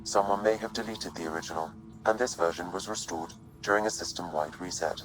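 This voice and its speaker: A man voices a formal, calm artificial intelligence for a video game. The voice is very low and speaks with measured precision. Faint music plays underneath. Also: lightly acted, low-quality recording. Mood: calm, factual. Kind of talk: scripted game dialogue.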